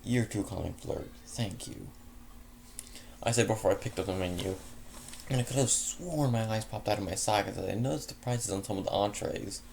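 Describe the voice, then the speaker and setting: Man, casual story reader, gravelly dark voice, trips over words, faint hiss. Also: fairly low pitch, quick pace. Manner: stumbles and self-corrects, chatty asides. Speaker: fanfic reader. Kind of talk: casual first-person story reading.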